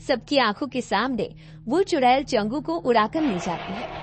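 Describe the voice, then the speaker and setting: A woman's voice: fairly high pitch, lively intonation, moderate pace, background noise. A woman reading scripted story narration as the narrator of a tale.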